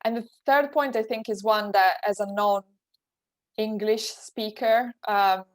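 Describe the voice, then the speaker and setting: Italian-accented woman, flat advisory tone. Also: medium pitch, takes it slowly, no echo. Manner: practical and advisory, restarts phrases often. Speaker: webinar panelist, tax advisor. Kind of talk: webinar panel answer.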